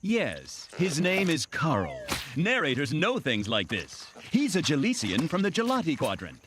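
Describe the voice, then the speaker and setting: An actor playing a smug, wry cartoon narrator with a mock-sincere, theatrical delivery. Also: male voice, fairly high pitch, wildly swooping pitch, a little slow, background noise. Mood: mock-earnest. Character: smug, wry storybook narrator, adult man. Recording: loud music behind the voice.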